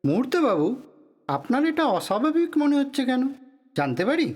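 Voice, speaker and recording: High, lively, sardonic inspector. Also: male voice, normal speed, heavily acted. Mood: probing. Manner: sarcastic, probing. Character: sardonic, wary male police inspector. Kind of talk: audio drama acting.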